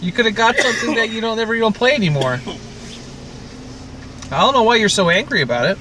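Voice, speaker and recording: A man's voice: high-pitched, expressive, fast talker, background noise. A man co-hosting a podcast in casual, teasing banter, needling his friend as he talks. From Canada. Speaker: podcast co-host.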